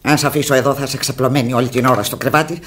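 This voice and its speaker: A woman's voice: rumbling low, steady tone. An actress playing a stern, impatient, practical woman in a Greek radio drama, firm, insistent and scolding. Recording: echoey, noisy old radio recording.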